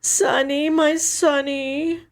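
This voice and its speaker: A woman's voice: sits high, flat delivery, drawn-out words, pitched above the performer's own voice, exaggerated voice. A woman voicing a grieving, protective older sister in an audiobook story, emotional and emphatic in her delivery. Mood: tender, sorrowful. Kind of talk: dramatic audiobook character dialogue.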